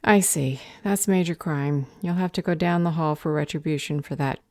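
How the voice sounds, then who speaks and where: Woman playing a low-voiced, stern magical judge. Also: normal speed, darkish tone, dry recording, studio quality, close to an everyday voice. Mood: stern, officious. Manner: formal, officious, commanding. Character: stern, curt female magical council judge. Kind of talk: audio roleplay fantasy drama.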